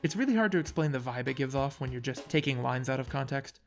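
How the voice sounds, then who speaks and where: A man's voice: mid-range voice, expressive, fast talker, creaky, soft background music. A man narrating a casual scripted video essay with wry, sarcastic asides.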